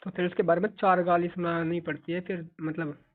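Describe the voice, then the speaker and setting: A man's voice: high voice, level tone, brisk. A young man chats casually over a phone call, bantering and arguing in a teasing way. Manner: bantering, argumentative. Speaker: younger-generation family member, brother on a call. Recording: tinny narrowband phone call.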